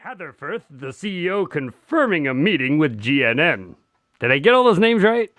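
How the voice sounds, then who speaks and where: A man's voice: a little high, big pitch swings, normal speed, fairly bright tone. A man, a voice actor, playfully rambling through a mic check and outtake chatter.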